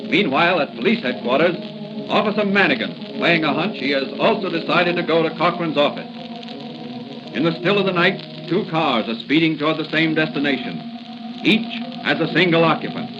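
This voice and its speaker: A man narrating in dramatic 1940s radio style, theatrical and suspenseful. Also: fairly high pitch. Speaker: announcer and narrator. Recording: muffled 1940 radio broadcast. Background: dramatic music.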